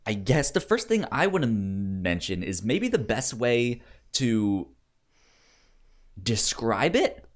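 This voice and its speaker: A man hosting a podcast, chatting casually about a film in a halting, stammering way that often repeats words. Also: medium pitch, sing-song. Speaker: podcast host.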